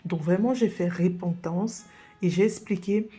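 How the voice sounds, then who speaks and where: An earnest woman from Cameroon gives a personal faith testimony as a podcast guest. Her voice is low-set, dark and mellow with a husky edge, and she often pauses on fillers and restarts her sentences. Faint guitar plays softly underneath.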